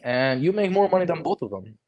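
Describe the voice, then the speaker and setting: A young man in his twenties from Lebanon, a guest chatting casually and telling his story in a relaxed way. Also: fairly high pitch, fairly quick, muffled recording. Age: 20s. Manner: relaxed storytelling, lots of "bro" and "like", acts out quoted conversations. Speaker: guest, HVAC technician. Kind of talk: casual podcast chat.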